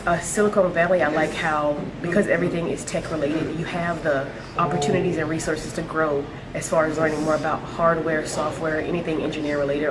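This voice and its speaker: A woman's voice: sits low, rough recording. A woman in her twenties, a student intern, giving a casual testimonial to camera. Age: 20s.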